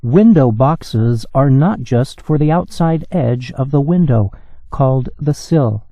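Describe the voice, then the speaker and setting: A man reading a news article in a measured, evenly paced way for English learners. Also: medium pitch, lively intonation, mellow, no room sound. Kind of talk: slow, clear scripted reading.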